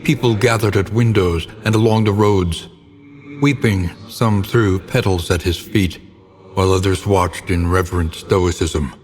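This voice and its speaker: Very deep, brooding gothic narrator. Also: male voice, steady tone, unhurried, a little mellow. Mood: solemn, grave. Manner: solemn, theatrical, ornate phrasing. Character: grim, brooding male narrator of dark gothic tales. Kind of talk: dramatic scripted gothic narration. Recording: long reverberant tail, clear background noise.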